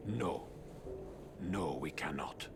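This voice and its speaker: An actor voicing a grave elderly mutant rat master, speaking in a measured, solemn way as a worried father figure. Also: mid-range voice, big pitch swings, noisy background, lightly acted.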